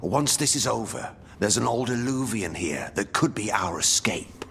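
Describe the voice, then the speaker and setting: An actor playing a gruff, practical, fatherly blacksmith in a fantasy video game, with a gravelly voice. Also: lowish voice, lively intonation, moderate pace, a bit bright, slight background noise. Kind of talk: dramatic fantasy game acting. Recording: faint game music behind.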